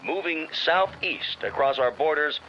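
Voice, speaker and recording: An actor playing a solemn male emergency announcer in a radio drama, reading formal bulletins in a measured, authoritative way through a radio filter. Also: fairly high pitch. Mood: grave, official. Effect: old radio set filter. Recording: dull radio-filtered sound with faint noise.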